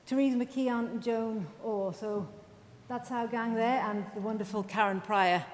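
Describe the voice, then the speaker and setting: A woman speech and language therapist giving a chatty conference presentation, full of quick asides and self-deprecating remarks. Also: steady tone. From Wales. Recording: reverberant hall with faint rumble.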